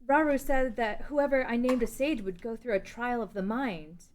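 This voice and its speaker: A woman's voice: fairly high pitch, moderate pace, slight background noise, lightly acted. A woman playing an earnest, conflicted young princess in a fantasy story table read. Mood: wondering.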